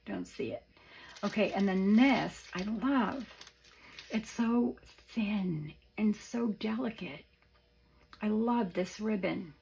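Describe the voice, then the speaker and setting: A hoarse woman showing off craft supplies in a casual show-and-tell, gushing softly and often dropping to a whisper. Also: mid-range voice, a little hiss or hum, poor audio. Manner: soft-spoken, gushing, often drops to a whisper. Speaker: craft vlogger.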